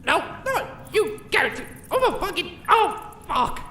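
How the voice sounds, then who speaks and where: A confused, irritable prisoner acted by a man in a comic fantasy audio drama. The voice is high, sharp and sweary, with room echo. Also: big pitch swings, measured pace, exaggerated voice. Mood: irritated. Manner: questioning, sweary. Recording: echoey, faint background noise.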